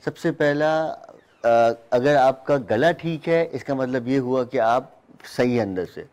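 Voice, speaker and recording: A man appearing as a studio guest, explaining herbal remedies in a measured, instructive way. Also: mid-range voice, darkish tone. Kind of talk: giving health advice.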